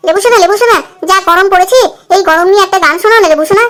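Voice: very high voice, very fast, a bit bright. A performer voicing a bossy, sweet-talking schoolgirl in a comedy cartoon. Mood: sweet, apologetic.

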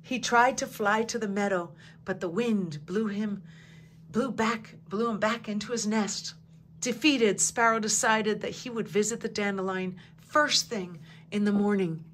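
A woman reading a children's picture book aloud, her voice sitting at a middle pitch. She tells the story calmly and evenly, softening to a near-whisper at quiet moments. A faint hum runs under the recording. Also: a little slow. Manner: calm, even storytelling, hushed at quiet moments. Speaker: storybook reader.

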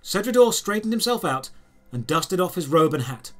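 Man, audio drama narrator, slightly high pitch, scripted first-person storytelling, measured and deliberate phrasing. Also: big pitch swings, faint music behind.

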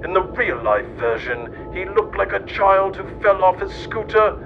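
A man's voice: very animated, measured pace, vocal fry, music in the background. A man reading a scripted mock-history story aloud in a theatrical, mock-solemn way. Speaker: narrator. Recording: dull lo-fi sound with loud rumbling noise.

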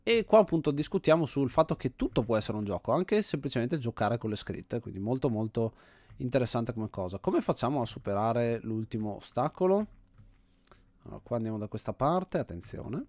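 A man's voice: medium pitch, expressive, a little fast, a little mellow, no room sound. An Italian man hosting a game series with casual gameplay commentary, thinking aloud and often repeating himself. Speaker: host of an indie game video series.

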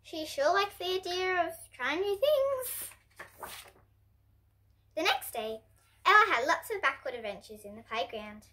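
Casting: preteen girl, high animated voice, expressive storybook reader for little kids. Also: unhurried, pauses often. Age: child, about ten to twelve. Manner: expressive, presentational reading to young listeners. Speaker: children's picture book reader, host. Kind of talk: storybook read-aloud for children. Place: indoors at home, in front of bookshelves.

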